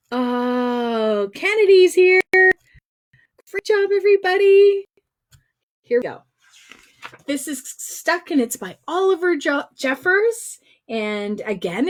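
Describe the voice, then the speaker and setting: A woman hosting a read-aloud for young children, speaking playfully and directly to them with exaggerated expression. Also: high-pitched. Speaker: children's storyteller and singer hosting a livestream.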